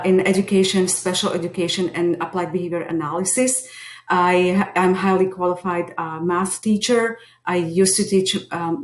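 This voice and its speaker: A middle-aged woman from Slovakia with a Slovak accent, giving an earnest, hesitant introduction of herself to a class. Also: fairly low pitch. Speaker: teacher lead and behavior analyst. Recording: echoey room.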